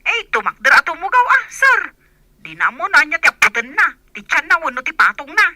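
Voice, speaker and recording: A woman's voice: high-pitched, huge pitch swings, a little fast, pushed and bright, no echo, full character voice. An actress playing an anxious, deferential female servant over a telephone effect in a radio drama. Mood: urgent, deferential. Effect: telephone filter. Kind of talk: Filipino radio drama acting.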